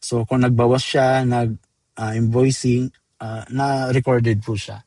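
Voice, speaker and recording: A Filipino man presenting a software tutorial step by step in an informal, chatty way, mixing in English terms. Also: medium pitch, level tone, unhurried, no echo. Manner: informal, addresses viewers as guys, code-switches into English terms. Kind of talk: casual screen-demo tutorial, step-by-step.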